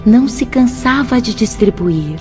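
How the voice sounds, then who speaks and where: An actress playing a wise, gentle, devout spirit teacher in a radio drama, speaking in a solemn, measured way. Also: female voice, fairly high pitch, expressive. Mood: serene, reverent. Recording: slightly muffled, music underneath.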